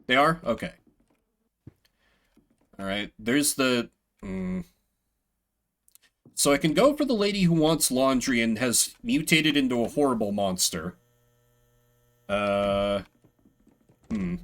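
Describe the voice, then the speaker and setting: A man's voice: mid-range voice, sing-song, slow pace, gravelly, pauses often. A man doing live game commentary in a playful, sweary way, reading bits of text aloud and chatting with viewers. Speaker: game streamer. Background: video game music.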